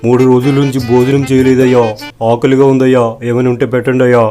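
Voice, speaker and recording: A man's voice: medium pitch, little pitch movement, quick pace, mellow, soft background music, lightly acted. A man voicing a hungry, pitiful beggar in a cartoon story, begging in a wailing, pleading manner.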